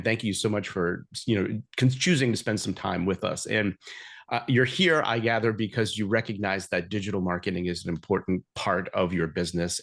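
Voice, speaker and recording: A man introducing a marketing webinar over a video call, friendly and salesy, with frequent filler words. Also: fairly low pitch. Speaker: company marketing director, podcast host.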